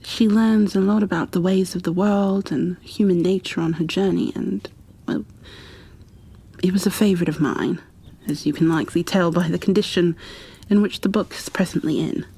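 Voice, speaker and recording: A woman playing a gentle, shy, bookish bookkeeper in an ASMR roleplay, soft-spoken and half-whispering, with a hesitant, apologetic way of talking. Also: fairly low pitch, steady tone, gravelly, breathy edge. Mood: shy, rambling. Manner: hesitant, apologetic, halting, careful. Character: gentle, shy, bookish female creature bookkeeper, peace-loving and kindly.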